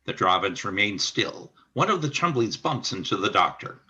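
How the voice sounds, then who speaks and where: A male narrator reads scripted stage action for a radio play. His voice is on the low side, with a bright, forward edge. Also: big pitch swings, normal speed.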